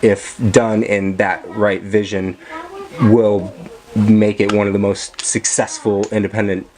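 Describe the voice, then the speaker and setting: A man's voice: fairly low pitch, a little mellow, slight background noise, poor audio. A man chatting casually on a video call about his film projects, rambling with many fillers and restarts. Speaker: vlogger and filmmaker. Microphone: camera mic.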